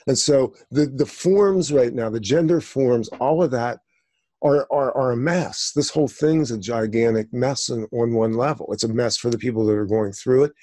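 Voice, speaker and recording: A man's voice: medium pitch, moderate pace, dark tone, dry recording. A man in his sixties talking in a rambling, digressive way, full of fillers, on a discussion show. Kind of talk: conversational discussion.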